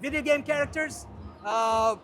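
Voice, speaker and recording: A man's voice: very high voice, a little slow, crowd noise. An enthusiastic man from Kuwait hosting an interview, often echoing what his guest says. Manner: enthusiastic, echoes the guest's phrases. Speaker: interviewer, TV host.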